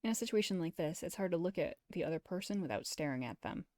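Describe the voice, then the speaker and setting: A woman streamer reading a visual novel aloud as expressive first-person story narration. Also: fairly low pitch, fast, dry recording, studio quality.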